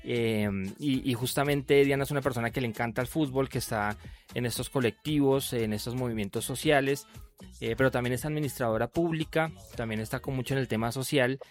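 A man's voice: medium pitch, steady tone, fairly quick, faint music behind. A man hosting an interview, chatty and full of fillers and restarts. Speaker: radio host.

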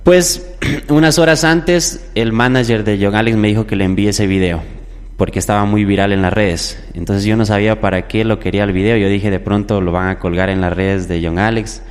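Low-voiced young man, echoey. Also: normal speed, clear, resonant tone. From southern Ecuador. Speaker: musician guest, popular music singer. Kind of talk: conversational interview storytelling. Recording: echoey hall-like reverb.

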